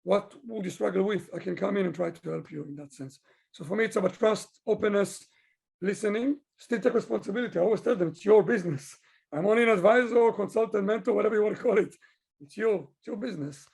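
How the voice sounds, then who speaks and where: Male interviewee from Israel, business consultant, husky with creaky edges, talkative and explanatory. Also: sits high, fast talker, darkish tone. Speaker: business owner, digital consultant being interviewed. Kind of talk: interview answers, conversational.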